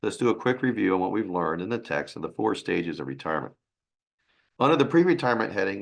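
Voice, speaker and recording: A man with a raspy, hoarse voice from a sinus infection, presenting a financial webinar in a halting way. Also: low voice. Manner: halting, with restarts and fillers.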